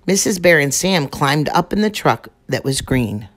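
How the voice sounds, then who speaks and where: A woman reading a children's picture book aloud in a slow, gentle and expressive way. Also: deep voice. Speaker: storyteller.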